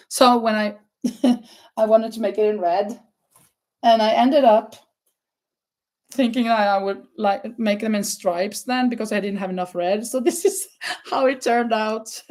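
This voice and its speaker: A woman's voice: mid-range voice, darkish tone. A woman with a non-native English accent, hosting a casual crochet live stream and chatting with viewers. She talks loosely, laughs often and stops to search for words.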